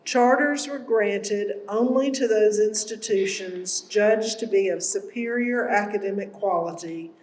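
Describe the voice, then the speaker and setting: A woman presenting academic honors at a school ceremony in a formal, measured speech. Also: medium pitch, a little mellow, rough recording. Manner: slow and measured. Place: school awards ceremony hall.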